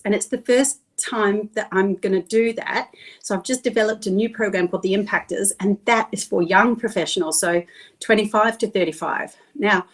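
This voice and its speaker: A middle-aged woman from Australia, a guest in a casual interview, talking warmly with frequent laughs and drawn-out emphasis. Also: medium pitch. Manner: enthusiastic, laughs often, drawn-out emphasis on words.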